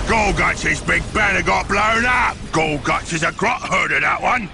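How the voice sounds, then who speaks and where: A rowdy, dim ork grunt from a video game. He yells in a high, raspy Cockney growl with lively swoops, over music. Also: heavily acted. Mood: rowdy, defiant. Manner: yelling crudely. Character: rowdy ork underling, dim, excitable and mutinous. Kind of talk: video game character acting.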